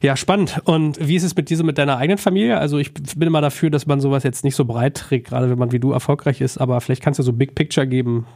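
Male interview host, quick casual delivery, gravelly medium-pitched voice. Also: fairly even intonation, a little mellow. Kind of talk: casual, colloquial interview chat.

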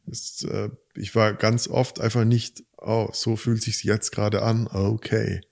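Male podcast co-host, lowish voice, reflective casual chat. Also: flat delivery, measured pace, vocal fry, darkish tone. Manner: reflective, thinking aloud. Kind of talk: casual conversation about relationships.

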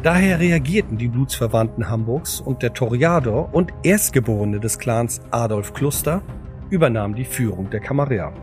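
A man with a mid-pitched voice narrates an audio drama from a script. His delivery is measured and solemn, with music playing behind him. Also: expressive.

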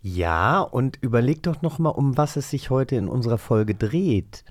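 A man's voice: medium pitch, expressive, moderate pace, no room sound, studio quality. A man co-hosting a podcast in casual, chatty talk. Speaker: podcast co-host. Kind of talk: casual chat, quizzing co-host.

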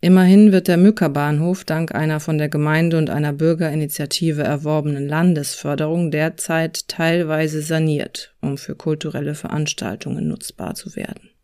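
A woman's voice: deep voice, darkish tone, clear, resonant tone. A woman narrating a scripted first-person travel account in a literary, descriptive storytelling manner, as host of a walking podcast.

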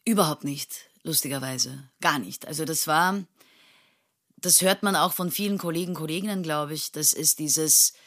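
A woman's voice: low voice, normal speed, a bit bright. A woman in her late thirties from Turkey, an actress talking casually in an interview, thoughtful, with many fillers and restarts and frequent laughter. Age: 30s.

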